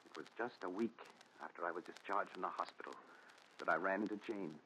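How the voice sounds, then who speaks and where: An actor playing a haunted, desperate man confessing his story, with intense, dramatic pauses in 1940s radio melodrama style. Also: low voice, natural voice. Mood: somber recounting. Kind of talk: 1940s radio thriller acting. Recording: dull noisy 1940s radio recording.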